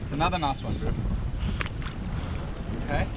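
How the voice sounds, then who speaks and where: A young South African man chatting casually and excitably while fishing, with slang and swearing. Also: fairly high pitch, moderate pace, lo-fi sound. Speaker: recreational angler. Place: outdoors on a small open fishing boat at sea. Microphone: action camera worn by an angler. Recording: loud wind and boat engine noise.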